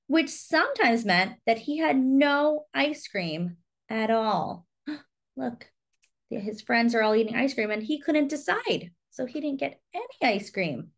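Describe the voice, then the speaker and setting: Slow, very animated woman reading a storybook. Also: sits high. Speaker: storybook reader and host. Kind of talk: expressive children's read-aloud with asides to young listeners.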